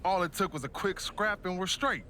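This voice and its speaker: A man's voice: high-pitched, normal speed, sharp tone. A man playing an earnest American wrestler pleading with his brother in slangy video game dialogue.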